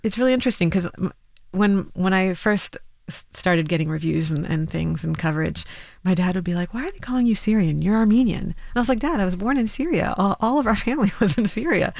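A woman's voice: medium pitch, expressive, fast, gravelly, hardly any pauses, darkish tone, dry recording. A woman in her thirties, a musician guest in an interview, talking thoughtfully and laughing often. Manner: thoughtful, laughs often, restarts while thinking. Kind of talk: interview conversation.

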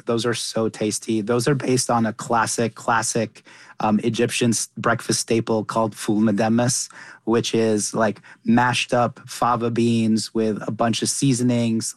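A young man speaking in a flat, level tone, recorded close and dry with no room sound. He is a chef who was raised in Qatar, answering interview questions and often stumbling over and repeating his words as he goes. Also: lowish voice, a little slow, no echo. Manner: frequent restarts and repeated words. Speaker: chef and cookbook author. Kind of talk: conversational interview.

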